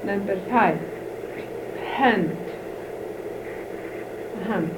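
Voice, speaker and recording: A woman from Iceland whose first language is Icelandic, slowly reading a numbered word list aloud and glossing each word. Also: medium pitch. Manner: slow, careful, deliberate reading. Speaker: language informant. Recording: dull old tape with clear hiss.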